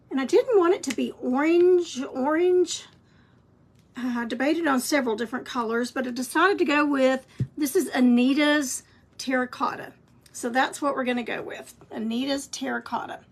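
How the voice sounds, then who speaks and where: A woman with a scratchy voice, teaching a live craft painting lesson in a chatty, encouraging way. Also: high voice. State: scratchy voice, clearing throat. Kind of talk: live-streamed painting tutorial.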